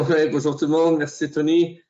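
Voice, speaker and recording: A man's voice: a little high, flat delivery, moderate pace, mellow. A man with a Canadian French accent presenting on a webinar, hesitant and laughing, with many fillers, heard over a video call. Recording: dull lo-fi call audio.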